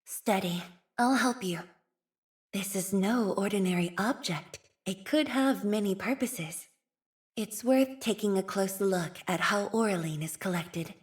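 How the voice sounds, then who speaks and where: Game role: composed young female scientist-leader, played by an actress. Soft, slow, measured delivery, mid-range pitch, gently wistful and earnest. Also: close to an everyday voice. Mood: calm, attentive. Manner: measured, formal, thoughtful phrasing. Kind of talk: video game character voice lines.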